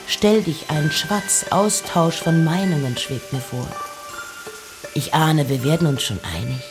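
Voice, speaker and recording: An intense, eccentric woman poet of the nineteenth century in a literary radio drama. Her voice is low and she speaks very slowly, placing each phrase theatrically with pauses. Music plays clearly behind her. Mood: imperious, eager. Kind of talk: literary radio play, reciting letters and poems.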